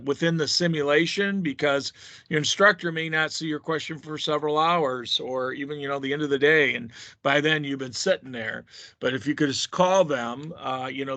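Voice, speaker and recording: Bright-voiced man briefing students, close dry sound. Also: medium pitch, no room sound. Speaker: university instructor leading a course orientation call. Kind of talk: informal explanatory briefing to students. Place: conference call.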